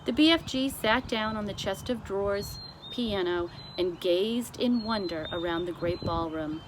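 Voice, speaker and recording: A woman reading a children's storybook aloud as narrator, with expressive delivery. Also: mid-range voice, unhurried, noisy background. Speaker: storybook reader.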